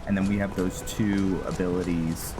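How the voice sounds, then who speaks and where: A man giving casual gameplay commentary as the host of a playthrough, halting as he thinks out loud. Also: low-pitched, flat delivery, poor audio. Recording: music behind the voice. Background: game music.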